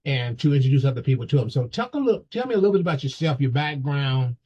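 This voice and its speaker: Male talk-show host, unhurried and halting, slightly husky, recorded on muffled lo-fi audio. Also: mid-range voice, expressive, a little mellow. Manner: halting, many fillers and restarts. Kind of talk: casual interview.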